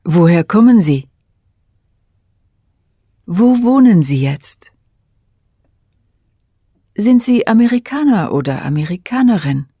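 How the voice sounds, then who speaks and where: A woman reads model words and questions slowly and carefully for language learners. Her voice is low, mellow and a little husky. The recording is dry and close. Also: big pitch swings, dry recording. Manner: careful, deliberate pronunciation. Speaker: course speaker reading example sentences. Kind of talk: slow scripted model reading.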